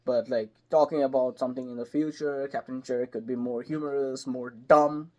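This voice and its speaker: Man, voice actor guest. Animated, rambling storyteller, mid-range voice, leans on "like", gets loud when excited. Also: mid-range voice, normal speed. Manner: loose, hesitant phrasing with many "like"s. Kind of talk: casual interview chat.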